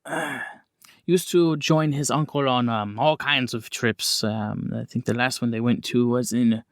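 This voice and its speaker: A man playing a courteous, frank 1920s doctor who talks in a hesitant, measured, polite way. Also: medium pitch, fairly even intonation, no room sound, clean studio sound, close to an everyday voice. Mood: candid, measured.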